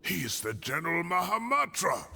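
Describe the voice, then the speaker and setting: An actor playing a weary old scholar in a video game, grave and measured, protective and defiant. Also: male voice, on the high side, big pitch swings, creaky, bright, forceful tone, a little hiss or hum, exaggerated voice. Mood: resigned defiance. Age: older. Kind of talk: dramatic video game voice acting.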